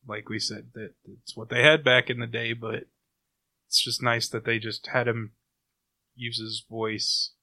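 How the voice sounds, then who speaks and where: A man with a middle-pitched voice that sounds bright and forceful, recorded dry and close. He speaks as a podcast co-host in an informal review chat, chiming in with reactions and opinions. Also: flat delivery, unhurried, no echo.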